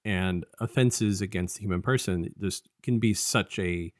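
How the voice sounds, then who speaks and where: Low-voiced man recapping a story casually. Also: unhurried, no echo, studio quality. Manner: rambling, acts out dialogue in colloquial paraphrase. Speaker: podcast co-host reviewing an audio drama.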